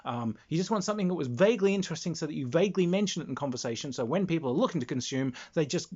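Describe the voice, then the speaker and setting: A pushy, brash New Jersey literary agent, as a man puts her on, pitched high with a harsh smoker's gravel. She repeats herself insistently and bulldozes along in a loud comic-anecdote style. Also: animated, racing, highs cut off. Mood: insistent, dismissive. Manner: repetitive, insistent, calling everyone honey. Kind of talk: comic impression in a spoken anecdote.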